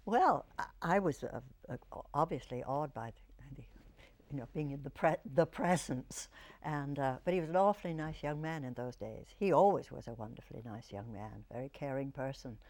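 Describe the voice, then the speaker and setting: A woman's voice: very deep voice, expressive, a little mellow. Older lady actress telling old stories, stops and starts, kinda thoughtful. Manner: reflective storyteller, halting. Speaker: actress, interview guest. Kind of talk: reminiscing interview answers.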